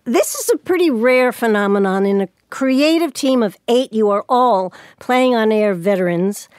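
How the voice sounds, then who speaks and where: A woman hosting a podcast, reading credits and asking the cast questions in a brisk, friendly way. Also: a little high, lively intonation, a little mellow, no room sound, studio quality. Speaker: host. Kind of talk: podcast host interview.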